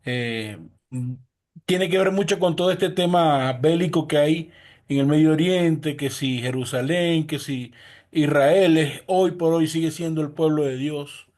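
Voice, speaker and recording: A man's voice: on the high side, unhurried, a little mellow. A man from Venezuela, a pastor, asking a question over a video call in a formal, earnest way. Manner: formal, earnest, some repeated words. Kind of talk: question from a participant in an online class.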